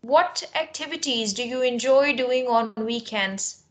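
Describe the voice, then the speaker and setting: A young woman English teacher running a speaking test and coaching, with direct, instructive delivery and short, clear questions. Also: a little high, a little slow. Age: young adult. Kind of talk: spoken test interview and coaching.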